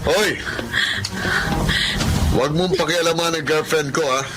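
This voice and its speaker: A man's voice: a little high, a little slow, very noisy, natural voice. A Filipino man playing a pushy, jealous young suitor in a radio drama, insistent and taunting. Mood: angry, scornful.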